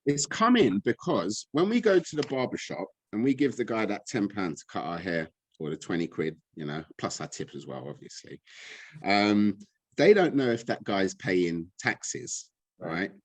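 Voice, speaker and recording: A man's voice: on the low side, big pitch swings, normal speed, no room sound. A man, speaking as a guest, ranting in a frustrated, blunt, impassioned way. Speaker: guest speaker on money and gold. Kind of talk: impassioned casual talk.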